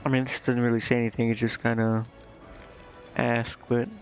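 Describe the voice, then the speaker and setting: A man's voice: mid-range voice, flat delivery, moderate pace, soft background music. A man doing casual game-stream commentary, reading bits aloud and muttering to himself in a hesitant, rambling way.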